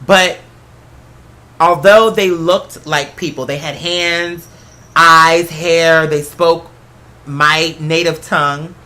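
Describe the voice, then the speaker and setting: A solo talk host with a bright, forward voice at a middling pitch. The delivery is a casual, rambling monologue, emphatic and conversational, with salty language. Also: fairly even intonation, takes it slowly. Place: apartment.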